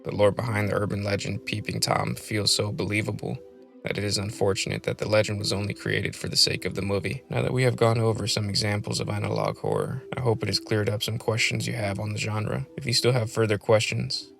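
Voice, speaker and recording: Low-voiced man narrating a film video essay. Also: animated, a little fast, vocal fry, fairly bright tone, faint music behind.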